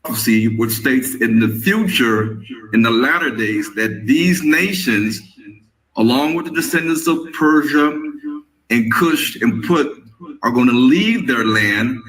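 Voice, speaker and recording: Slow, deliberate man teaching prophecy. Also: mid-range voice, a bit bright, poor audio. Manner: deliberate, lists names one by one.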